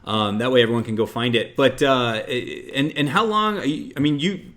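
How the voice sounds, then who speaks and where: A man's voice: medium pitch, moderate pace, a bit bright. A man hosting an interview, effusive and eager, often stumbling over and repeating his words. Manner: effusive, stammering restarts, lots of "like". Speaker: host. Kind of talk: enthusiastic interview chat.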